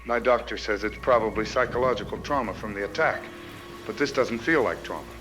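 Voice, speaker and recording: A man's voice: fairly low pitch, a little fast, over music, close to an everyday voice. An actor playing a frightened radio caller who fears he is changing, speaking in an anxious, confessional way. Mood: terrified. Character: frightened bitten man phoning in, fighting an inner change. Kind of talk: radio drama call-in monologue.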